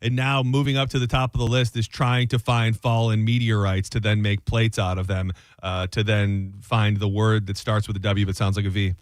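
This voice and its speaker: A man's voice: on the low side, level tone, normal speed, few pauses, dry recording. A male radio host chatting casually in the studio, joking and rambling with his co-host. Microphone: studio microphone.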